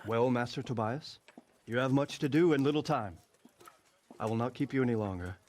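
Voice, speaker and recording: Actor as a stern, weary medieval lord. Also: male voice, medium pitch, moderate pace, darkish tone, natural voice. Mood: commanding. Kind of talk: dramatic game cutscene acting.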